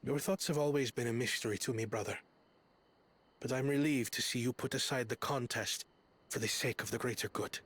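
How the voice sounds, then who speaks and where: Thoughtful prince, husky, mid-pitched, reserved, formal, fantasy game. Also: male voice, fairly quick, fairly bright tone. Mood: relieved. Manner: formal, composed. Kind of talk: fantasy video game acting.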